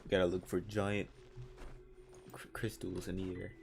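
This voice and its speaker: A man's voice: low voice, little pitch movement, a little slow, gravelly, a little mellow, soft background music. A man chatting casually as he plays and comments on a video game. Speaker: gamer, streamer. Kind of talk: casual gameplay chat.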